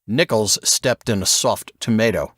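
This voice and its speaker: A man's voice: fairly low pitch, a little slow, a bit bright, no echo. A man reading a thriller novel aloud as scripted narration, with dramatic expression. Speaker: audiobook narrator.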